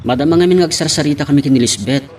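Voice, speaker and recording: A man's voice: fairly high pitch, fast, a little mellow, slight background noise, lightly acted. A man playing a deferential subordinate who reports to his boss in an audio drama.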